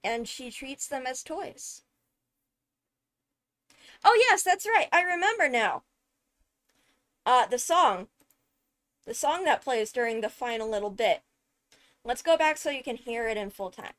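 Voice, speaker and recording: A woman giving enthusiastic fan commentary, thinking aloud with dramatic, deliberate phrasing and emphatic pauses. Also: fairly high pitch, animated, fairly bright tone. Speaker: video creator analysing a game character.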